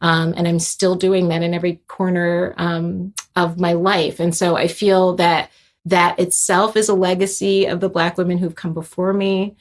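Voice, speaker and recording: A woman's voice: on the low side, little pitch movement, moderate pace. A young adult woman, a composer guest, speaking thoughtfully with many fillers and restarts. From Haitian heritage. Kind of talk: reflective interview talk.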